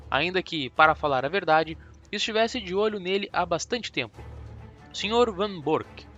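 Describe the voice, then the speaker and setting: A man playing a calm, ironic, self-assured detective in an audiobook. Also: a little high, muffled recording. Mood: mocking. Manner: dry, mocking, self-assured.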